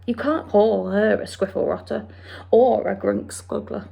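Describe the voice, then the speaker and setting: A woman's voice: medium pitch, a little slow, gravelly, dark tone. A woman voicing a brave young orphan girl who pleads and argues with indignation. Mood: indignant.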